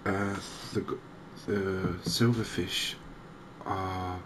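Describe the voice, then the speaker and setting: A man giving softly spoken, gentle ASMR game commentary in an unhurried, hesitant way. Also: low-pitched, level tone, background noise. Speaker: host of a cozy gaming channel.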